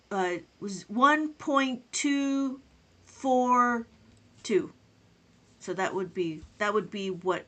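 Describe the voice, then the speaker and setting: A woman's voice: on the high side, fairly bright tone. A woman teaching abacus math on a live stream, chatting with viewers, greeting them by name and counting numbers aloud.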